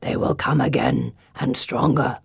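A woman voicing an ancient male stone gargoyle guardian with a gravelly voice, speaking grave, formal, commanding pronouncements in a storybook reading. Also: fairly even intonation, unhurried, dry recording, clean studio sound, full character voice. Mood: grave, solemn. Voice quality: gravelly, deep.

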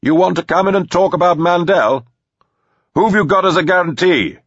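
A man voicing an angry, suspicious defender calling out loudly and bluntly in an action audiobook. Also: moderate pace, no room sound, lo-fi sound. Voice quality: loud, booming. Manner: blunt, threatening. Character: angry, suspicious man booming from a besieged manor.

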